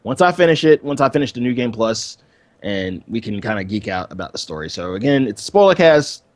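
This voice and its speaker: A man's voice: mid-range voice, very animated, a little fast, no echo. A man co-hosting a gaming podcast in casual, informal chat, repeating himself as he thinks aloud. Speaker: podcast co-host.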